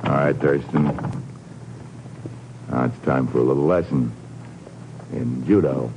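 An actor playing a menacing, taunting villain in a 1950s radio drama. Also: male voice, a bass voice, unhurried, muffled recording. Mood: taunting menace. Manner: taunting, threatening. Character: menacing villain, a brutal man hunting in the dark. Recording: noisy, clicking old radio recording.

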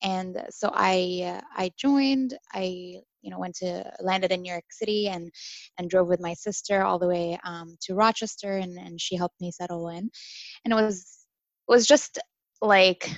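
A woman's voice: lowish voice, measured pace, gravelly, no echo. Chatty young woman on a lo-fi line. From Syria, raised in Dubai. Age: 20s. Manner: animated, chatty, with many fillers and laughs. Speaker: podcast guest, former international student. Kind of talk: casual interview chat.

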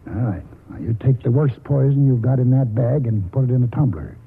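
A man's voice: mid-range voice, lively intonation, brisk. An older American man acting a stubborn, wily country grandfather in a radio drama, with folksy, rambling speech. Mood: stubborn, bargaining. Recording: muffled, husky-sounding vintage radio broadcast.

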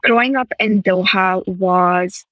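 A woman's voice: mid-range voice, takes it slowly, fairly bright tone, no echo. A woman raised in the Middle East, a podcast guest talking earnestly and enthusiastically about her past in long flowing sentences. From India, raised in Qatar. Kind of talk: reflective conversational interview.

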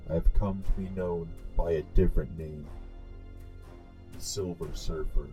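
A man voicing a solemn, tormented cosmic herald in a comic dub, with a grave, dramatic, deliberate delivery. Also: sits low, mellow, poor audio.

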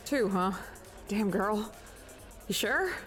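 Breezy, lively woman fight club bookie. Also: mid-range voice, slow pace, faint music behind. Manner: casual, slangy, familiar. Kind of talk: audio drama dialogue.

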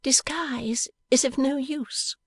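A woman reading an audiobook, voicing a playful, affectionate young wife in a high, light, wheedling voice. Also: fairly even intonation, takes it slowly, no room sound. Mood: wistful.

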